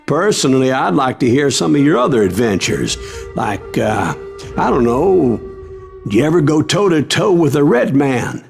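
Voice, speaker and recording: A man's voice: mid-range voice, sing-song, normal speed, gravelly, soft background music. An actor playing an eager, curious man in an Old West saloon, hesitant and inquisitive, in a Western game. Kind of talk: game dialogue.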